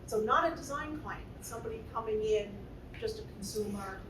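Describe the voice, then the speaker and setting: A woman's voice: fairly high pitch, a little fast, roomy sound, far from the mic, noisy background. A woman moderating a panel discussion, asking designers questions in a playful way. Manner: playful, asks questions with fillers.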